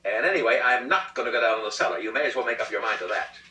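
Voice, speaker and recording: A man's voice: mid-range voice, very fast, sharp tone, voice pushed up, lightly acted. A man voicing a stubborn, scornful wife in a comic short-story reading, curt, dismissive and sarcastic. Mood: firm, irritated. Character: stubborn, scornful, nagging wife who refuses to budge. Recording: roomy, distant rehearsal recording.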